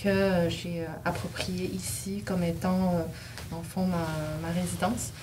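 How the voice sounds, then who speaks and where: A woman's voice: on the low side, flat delivery, unhurried. A woman from China giving a personal account in a group discussion, hesitant and often searching for words. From China, immigrated to Canada at seven. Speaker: dialogue participant. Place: group gathering, filmed discussion. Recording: distant mic, clear background noise.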